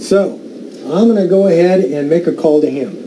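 A man with an American accent giving a casual radio gear demonstration, pausing between phrases. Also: fairly high pitch, dark tone, rough recording. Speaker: amateur radio YouTuber. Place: indoors at a desk. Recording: faint background noise.

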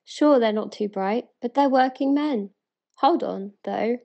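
A British woman playing an eager, wonder-struck townswoman in a dramatic verse reading, earnest and excited. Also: fairly high pitch, measured pace, creaky, highs cut off. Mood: curious.

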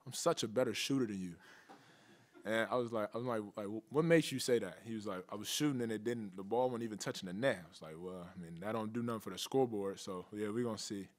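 A young man answering questions as a college basketball player at a postgame press conference, relaxed and casual, into a table mic. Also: mid-range voice. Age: young adult. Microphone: table mic on stand.

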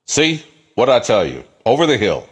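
A man playing an affable, fast-talking older outlaw who coaxes like a peacemaker in a western drama. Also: medium pitch, wildly swooping pitch, husky. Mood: wry. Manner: cajoling, chatty. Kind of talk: dramatic western film acting demo.

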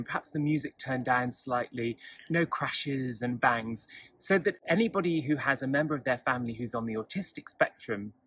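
A man's voice: mid-range voice, bright, forceful tone, breathy edge. A middle-aged man explaining to camera outdoors in a garden.

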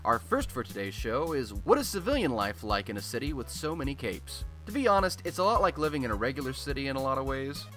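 A man's voice: medium pitch, big pitch swings, fast talker, hardly any pauses, fairly bright tone, soft background music. A man playing a chatty, irreverent radio host from a superhero city, talking in a wry, casual monologue. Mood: wry, upbeat. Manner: wry, conversational, mildly sweary.

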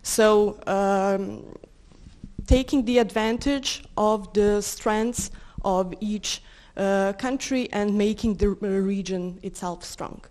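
A woman's voice: mid-range voice, slow pace, a little mellow. A young woman, speaking earnestly and a little hesitantly in a group discussion on a handheld mic. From Serbia. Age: young adult. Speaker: panel participant. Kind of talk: discussion contribution. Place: medium indoor room with seated audience. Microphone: handheld microphone.

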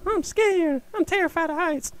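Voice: very high-pitched, normal speed, no room sound, voice pushed up. A comic voice-over performer voicing a small, scared, hesitant kitten.

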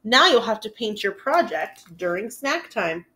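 A woman's voice: medium pitch, big pitch swings, measured pace, sharp tone, slight background noise. A woman voicing a firm female dinosaur schoolteacher in a storybook, scolding matter-of-factly. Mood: stern.

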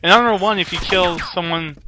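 A man's voice: sits high, fairly quick, over music. A man giving casual let's play commentary, playful and sarcastic, often in short muttered asides. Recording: game gunfire and ambience behind voice. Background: video game sound effects.

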